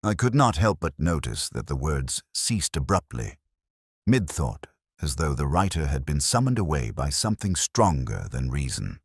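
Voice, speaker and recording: A man narrating a scripted first-person detective story in a solemn, literary, measured manner. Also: extremely low pitch, very animated, slightly rough, dry recording, studio quality. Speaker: audio drama narrator.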